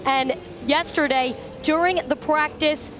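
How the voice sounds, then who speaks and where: A woman reporting from the pits in a clear, factual news style. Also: high-pitched, fairly even intonation, a little slow, noisy background. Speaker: pit road reporter.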